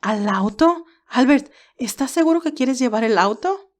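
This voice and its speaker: A woman plays a bashful young woman in an audio story. Her voice is high and questioning. She sounds doubtful and a little hesitant. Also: animated, measured pace. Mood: sceptical.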